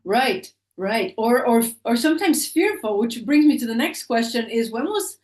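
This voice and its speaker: A woman's voice: a little high, animated, normal speed, a little mellow, recorded from a distance. A woman hosting an interview, asking questions with frequent hesitations. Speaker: podcast host. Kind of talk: conversational interview. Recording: roomy echo, voice from the mic.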